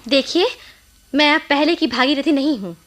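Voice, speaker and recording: High-voiced anxious, defiant young village wife. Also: female voice, moderate pace, a bit bright. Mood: bitter, defiant. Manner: dramatic, pointed, emotionally charged. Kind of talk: Hindi radio play acting.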